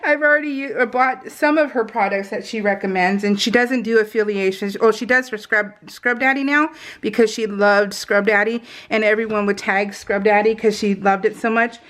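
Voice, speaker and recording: A middle-aged American woman telling personal stories in a casual, informal, animated way, slipping into retold dialogue. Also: medium pitch, moderate pace, few pauses. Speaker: craft video host. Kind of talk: casual chatty storytelling.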